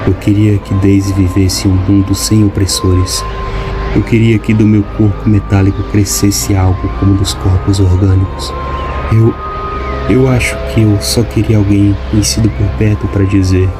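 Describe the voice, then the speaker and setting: A man voicing a dying robot father who speaks in a calm, measured way. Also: fairly low pitch, close to an everyday voice. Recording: music playing behind.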